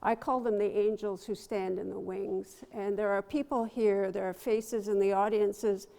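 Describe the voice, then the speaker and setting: An older woman artist from Toronto with a soft voice, telling stories slowly and with gentle humor to a live audience in a gallery. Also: mid-range voice, steady tone, vocal fry. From Toronto, Canada. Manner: slow, storytelling, gently humorous. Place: gallery hall. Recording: long hall reverb.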